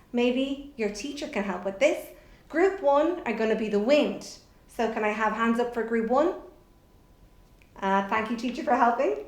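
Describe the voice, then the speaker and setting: A middle-aged woman leading an instructional drama lesson for children, giving encouraging step-by-step directions. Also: on the high side. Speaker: workshop presenter for children. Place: hallway-like room in a house, indoors. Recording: roomy, echoey indoor sound.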